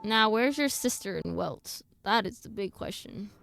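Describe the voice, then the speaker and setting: A woman's voice: mid-range voice, expressive, normal speed, gravelly, a bit bright, soft background music. A woman giving casual, chatty live commentary as she streams a game and talks to her viewers. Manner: chatty, reacts to game and chat.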